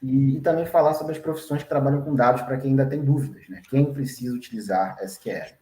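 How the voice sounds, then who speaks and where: A Brazilian man working as a data engineer, introducing an online class and himself at an unhurried pace. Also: medium pitch, mellow, roomy sound, poor audio. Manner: unhurried, frequent tag questions.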